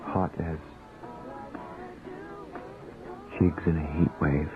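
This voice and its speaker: A man's voice: very deep voice, level tone, normal speed. An actor playing a torn, self-doubting married writer in a radio drama, confiding and hesitant as he narrates and talks. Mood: awkward, flirtatious. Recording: thin phone-like radio audio, music behind.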